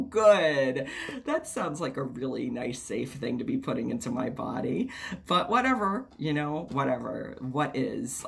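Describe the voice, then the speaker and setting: A woman vlogger chatting casually to camera, her voice raspy and hoarse from a cold, rambling and swearing freely. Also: extremely low pitch.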